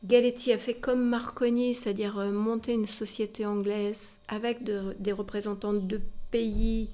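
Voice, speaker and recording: A woman's voice: mid-range voice, little pitch movement, a little slow, mellow. Female museum guide, informal historical storyteller, explanatory and direct with the listener. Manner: explanatory, addresses listeners directly with rhetorical tags.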